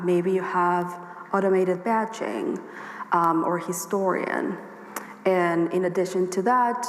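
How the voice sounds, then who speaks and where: A woman with a hoarse voice giving a workshop presentation at a conference, explaining her points steadily and speaking straight to the audience. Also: fairly low pitch. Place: conference hall. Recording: echoey hall with long reverb, faint ambience.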